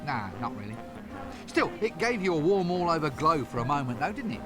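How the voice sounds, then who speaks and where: Wry British cartoonish game wizard, bumbling and sarcastic, highish voice leaping about, music behind. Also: male voice, very animated, fairly quick. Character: bumbling, wisecracking, cowardly male wizard, wry and exasperated. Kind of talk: point-and-click adventure game voice acting.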